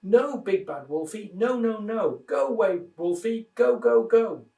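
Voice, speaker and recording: A man's voice: lively intonation, a little slow, vocal fry, mellow, full character voice. A man voicing a small, frightened young piglet in a children's storybook, squealing and pleading in panic. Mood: terrified. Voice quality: squealing, high. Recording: roomy echo, distant microphone.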